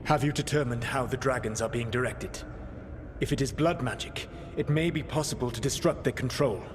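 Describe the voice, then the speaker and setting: An actor playing a solemn, proud ancient elven mage in a video game, speaking in formal, measured, grave diction. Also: male voice, mid-range voice, big-room sound, noisy background, close to an everyday voice. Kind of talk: dramatic video game dialogue.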